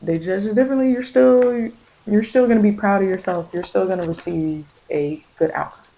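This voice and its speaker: A woman giving a soft ASMR tarot reading, speaking in a halting, repetitive, reassuring way as she offers spiritual guidance. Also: mid-range voice, poor audio. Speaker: tarot reader.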